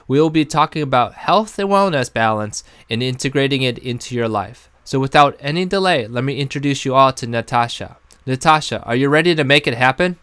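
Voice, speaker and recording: A man hosting a podcast, reading upbeat promos and then asking relaxed interview questions. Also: medium pitch, clean tone, no room sound. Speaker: podcast host. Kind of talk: podcast intro and casual interview.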